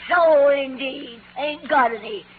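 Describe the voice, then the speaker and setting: A woman playing a taunting, cackling old witch in a 1940s radio drama, on a tinny, noisy old recording. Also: takes it slowly. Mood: gloating, taunting. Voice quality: shrill, cackling.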